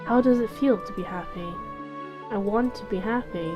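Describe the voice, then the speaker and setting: A bewildered, self-pitying young woman as a woman reader plays her in a visual novel. She is hesitant and pleading, with an average female pitch and faint music behind her. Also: moderate pace, lo-fi sound, close to an everyday voice. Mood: sad, withdrawn.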